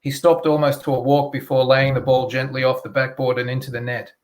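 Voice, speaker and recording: A man's voice: medium pitch, flat delivery, brisk. A man with a mid-range voice, speaking as a guest author. He moves between informal talk and narrating fiction from the printed page. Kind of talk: casual chat and reading his fiction aloud.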